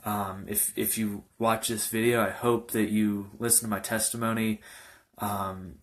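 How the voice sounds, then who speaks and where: Young male pastor, halting earnest talk. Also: on the low side, little pitch movement, a little hiss or hum. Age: young adult. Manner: halting, many fillers and restarts; earnest, informal. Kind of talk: personal testimony talk.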